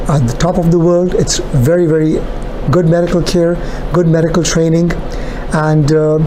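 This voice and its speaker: Man from India, middle-aged, earnest, measured, pausing, noisy background. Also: on the high side. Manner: measured, earnest, with long pauses. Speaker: interviewee, cardiologist. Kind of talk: answering interview questions.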